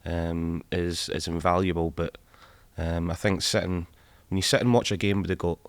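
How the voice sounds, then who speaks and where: Man, deep monotone, earnest, restarts, dry room. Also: normal speed, no echo. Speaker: co-host, former coach. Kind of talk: podcast interview.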